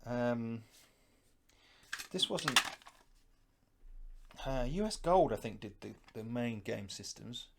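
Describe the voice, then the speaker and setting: A man's voice: medium pitch, expressive, slow, creaky, long pauses, heavy background noise. A middle-aged man giving casual, unscripted unboxing commentary, musing aloud as he handles things. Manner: musing, thinking aloud while handling items. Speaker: host of a retro gaming unboxing channel.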